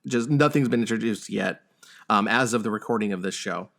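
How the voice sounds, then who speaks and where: A man's voice: fairly low pitch, fairly quick, gravelly, a bit bright. Man hosting a casual gaming podcast. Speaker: podcast host. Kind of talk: casual gaming podcast talk and news rundown.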